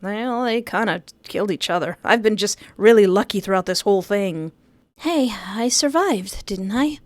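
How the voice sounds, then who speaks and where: A woman's voice: mid-range voice, expressive, normal speed, no room sound, close to an everyday voice. Woman as a flippant, evasive young magic-user. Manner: casual, flippant, hesitant.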